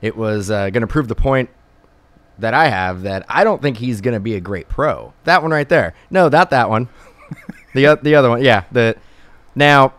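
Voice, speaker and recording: A man's voice: medium pitch, expressive, moderate pace, fairly bright tone, dry recording. Joking, opinionated man co-hosting. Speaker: co-host. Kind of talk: casual chat.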